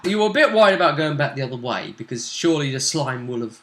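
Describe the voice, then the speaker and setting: British man, conversational game master, forward bright tone, echoey room recording. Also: mid-range voice, big pitch swings, fast talker. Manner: conversational, explanatory. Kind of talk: casual recap of a tabletop role-playing session.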